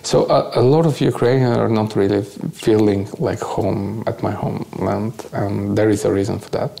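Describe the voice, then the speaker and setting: A man with a low, gravelly voice and a mellow tone speaks with a Bulgarian accent. He is an interview guest telling of his experiences as a volunteer, haltingly searching for words in a second language. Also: fairly even intonation. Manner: halting, searching for words in non-native English. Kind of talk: conversational live talk, recounting experiences.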